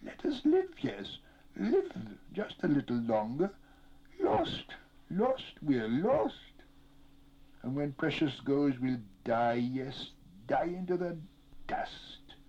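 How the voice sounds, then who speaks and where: Wretched, shrill, whimpering creature. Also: male voice, wildly swooping pitch, slow pace, warm tone, muffled recording, heavily acted. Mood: whimpering, pleading. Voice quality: whimpering, hissing, breathy whispers. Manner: pleading, repeating words. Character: wretched, starved, cringing creature, whimpering and hissing.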